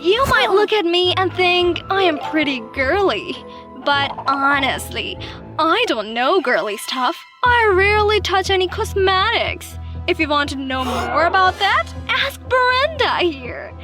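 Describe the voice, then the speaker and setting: A woman voicing a boastful, dismissive, self-absorbed teenage girl in an animated story, turning whiny when playing helpless. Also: very high-pitched, a little slow, over music. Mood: smug, boastful. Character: bratty, self-absorbed teenage pick-me girl, feigning sweetness.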